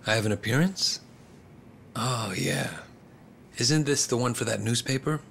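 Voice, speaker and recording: An actor playing a composed, self-assured male politician in a video game, speaking in measured, polite phrases. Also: mid-range voice, gravelly, a bit bright, a little hiss or hum. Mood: composed, confident. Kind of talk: English video game voice acting.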